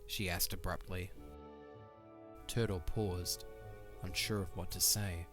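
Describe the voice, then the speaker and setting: A man's voice: low voice, fairly even intonation, takes it slowly, music in the background. A man reading scripted narration for a fantasy audio drama.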